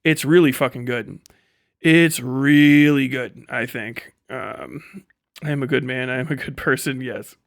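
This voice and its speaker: A man's voice: mid-range voice, unhurried, creaky, no echo. A man hosting a casual livestream, chatting and ranting bluntly with frequent heavy swearing, close on the mic. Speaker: livestream host and content creator. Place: indoor home streaming setup. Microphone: close studio microphone.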